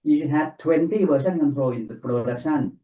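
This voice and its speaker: A man's voice: on the high side, brisk, roomy sound, muffled recording. A man leading a casual work meeting, explaining technical steps one at a time in non-native English. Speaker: technical consultant presenting to a client team.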